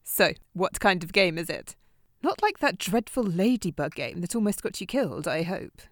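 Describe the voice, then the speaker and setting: A woman's voice: on the low side, sing-song, normal speed, dry recording, clean studio sound. A woman playing a vain, flirtatious, competitive glamorous friend in an audio drama, theatrical and teasing. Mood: arch, indignant.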